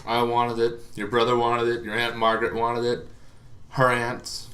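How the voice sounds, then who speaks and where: A man's voice: medium pitch, completely flat delivery, normal speed, a bit bright. A man hosting a show on tabletop gaming lore, explaining things informally and in a rambling way to viewers. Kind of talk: casual explainer talk to viewers.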